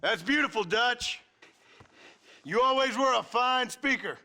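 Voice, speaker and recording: Cool wry cowboy, high bright voice, unbothered. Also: male voice, measured pace, heavily acted. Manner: calm, wry, understated. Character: calm male gunslinger, steady and wry.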